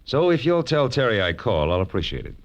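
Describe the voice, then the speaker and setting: A man's voice: medium pitch, very animated, quick pace, dull audio, natural voice. An actor playing a wry, tough-talking American private detective, narrating his case in 1940s radio drama style. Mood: tough, sardonic. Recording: vintage radio drama with music underneath.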